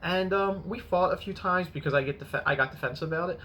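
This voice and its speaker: A man's voice: high-pitched, fast talker, sharp tone, a little hiss or hum. A man in his thirties telling his own money story in a casual, rambling vlog, often correcting himself mid-sentence. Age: 30s. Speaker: finance vlogger.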